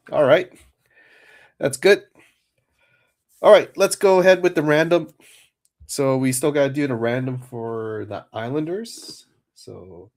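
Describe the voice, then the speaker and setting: A man hosting a livestream card break, chatting casually, a little hoarse and nasal from a cold. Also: mid-range voice. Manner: relaxed, chatty, many fillers.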